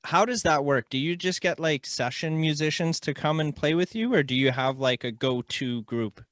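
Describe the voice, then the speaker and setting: A man's voice: a little high, normal speed, a bit bright, dry recording, studio quality. A Canadian man hosting a podcast, chatting casually in a laid-back, slangy way. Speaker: podcast host. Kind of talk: casual chat.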